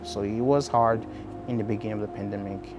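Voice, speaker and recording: A man giving a halting, hesitant personal account in a documentary interview, with background noise. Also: on the low side, fairly even intonation, music in the background. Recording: clear ambient background noise. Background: ambient noise.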